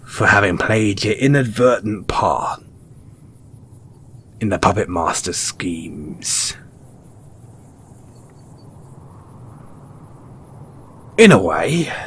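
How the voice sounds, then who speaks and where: A man's voice: fairly low pitch, lively intonation, fairly bright tone, breathy edge, heavily acted. A man playing a mysterious, wry and cynical wasteland merchant in a post-apocalyptic audio roleplay, speaking colloquially and knowingly straight to the listener. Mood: sardonic. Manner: unhurried, colloquial, knowing, with pointed pauses.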